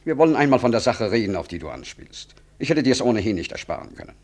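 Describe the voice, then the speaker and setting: A man's voice: mid-range voice, very animated, fast. A self-important statesman in a classic radio play. He is middle-aged and speaks in polished, rhetorical periods. His intonation rises and falls broadly as he justifies himself. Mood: knowing, affronted. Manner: pompous, formal, rhetorical. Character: self-important male government minister, smooth, self-justifying old friend. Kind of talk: 1960s German radio drama acting.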